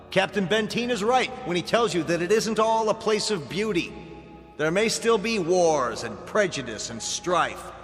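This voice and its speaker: An actor playing a reasonable, authoritative space colonel in a radio drama, plain-spoken and measured. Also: male voice, sits high, fairly bright tone. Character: firm, reasonable male colonel leading a space rescue mission.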